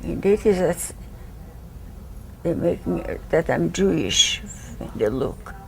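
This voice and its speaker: A woman in her eighties speaks in very slow, halting, broken English. Her voice is deeply gravelly and sits on the low side. Faint noise lies behind her. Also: lively intonation, a little mellow, rough recording. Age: 80s. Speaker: Holocaust survivor interviewee. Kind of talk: recalled testimony.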